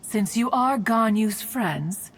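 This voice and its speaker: A woman's voice: a little high, expressive, slow, slightly rough, exaggerated voice. A woman playing a proud ancient immortal guardian of the mountains in a video game, doting, with pompous archaic phrasing. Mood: fondly reminiscing. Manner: pompous, archaic formal phrasing, calls herself "one". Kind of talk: theatrical video game voice acting. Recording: game music behind the voice.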